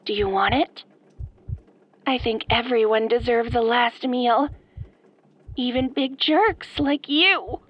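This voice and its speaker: A woman acting as an obsessed young female fan turned killer in a horror audio roleplay, soft-spoken, girlish and pouty, with sweet menace. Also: fairly high pitch, slow, pauses often, highs cut off. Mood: cheerful menace. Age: young adult.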